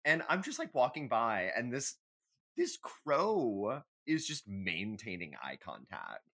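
A man's voice: mid-range voice, wildly swooping pitch, slow, fairly bright tone. A man co-hosting a podcast in casual banter, dryly humorous and playfully dramatic in how he tells things. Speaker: podcast co-host.

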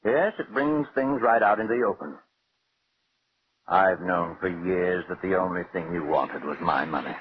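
A man's voice: low-pitched, normal speed, close to an everyday voice. An actor playing a dying husband who suavely taunts his wife in theatrical, mock-affectionate tones, in a 1940s radio drama. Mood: suave taunting. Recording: muffled, hissy old radio recording.

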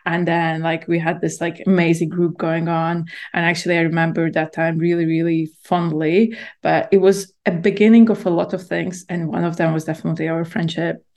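A woman's voice: on the low side, level tone, a little fast, hardly any pauses, darkish tone. A woman, telling her story reflectively as a podcast guest, full of "like" and "you know". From Latvia. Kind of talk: casual podcast conversation.

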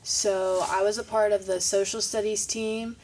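A woman's voice: mid-range voice, little pitch movement, measured pace, darkish tone, far from the mic, a little hiss or hum, low-quality recording. A teenage girl from Kentucky answering interview questions in an upbeat, organized way. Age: teens. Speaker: student guest.

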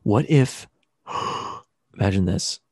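A man's voice: fairly low pitch, lively intonation, takes it slowly, vocal fry, a little mellow, husky, no room sound, studio quality. A man co-hosting a casual podcast, joking and playful, tossing in silly put-on accents. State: sleepy. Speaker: podcast co-host. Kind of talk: casual chat.

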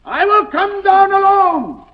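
An actor playing a mad, defiant former surgeon in a theatrical, imperious 1940s radio style. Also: male voice, very high voice, big pitch swings, takes it slowly, heavily acted. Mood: haughty, defiant. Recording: muffled vintage 1940s radio recording.

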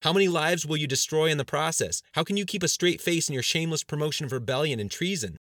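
A man voicing a panicked, scornful male politician in an audiobook, shouting his objections with incredulous mockery. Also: on the high side, animated, quick pace, no echo, studio quality, pitched above the performer's own voice. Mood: heated. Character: panicked, scornful male cabinet member arguing in dread. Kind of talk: dramatized audiobook dialogue.

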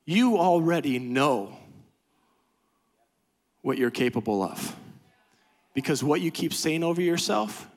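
A man preaching a sermon as pastor, swinging from quiet, low talk to loud, high-pitched exhortation. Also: very animated, takes it slowly, pauses often. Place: church sanctuary.

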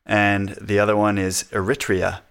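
A man from the United States talking casually in a relaxed conversation, chatty and self-deprecating, laughing often between points. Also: fairly low pitch, moderate pace, creaky, clear, resonant tone.

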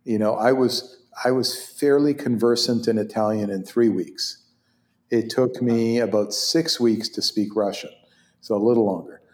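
A man's voice: lowish voice, normal speed, roomy sound. A man in his sixties whose first language is Hebrew, telling stories as an interview guest with lots of laughs and restarts. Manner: animated, laughs often, many restarts. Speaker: interview guest, orthopedic surgeon. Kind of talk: conversational storytelling.

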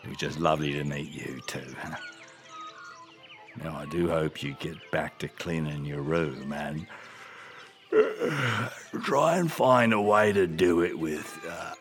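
A man voicing a lazy, gentle, grumbly wombat in a children's audio story, hesitating and sighing as he drawls. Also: rumbling low, lively intonation, slow pace, soft background music, heavily acted. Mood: kindly, weary.

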